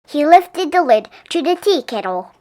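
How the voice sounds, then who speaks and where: Woman, high-pitched, expressive storybook narration, read-aloud for young children. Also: normal speed, background noise. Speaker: storybook reader.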